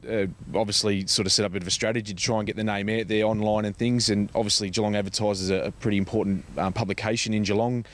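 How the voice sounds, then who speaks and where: A man with an Australian accent answering interview questions in a halting, conversational way, often repeating words. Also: fairly low pitch, flat delivery, fairly bright tone. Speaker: charity foundation representative being interviewed.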